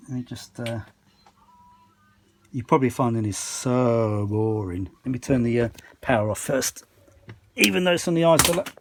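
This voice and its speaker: A man's voice: medium pitch, normal speed, long pauses, slight background noise. A British man giving a casual, unscripted running commentary as a hobbyist repairer, often muttering asides to himself while he works. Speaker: hobbyist repairer presenting a video. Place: home kitchen workshop. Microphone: handheld phone.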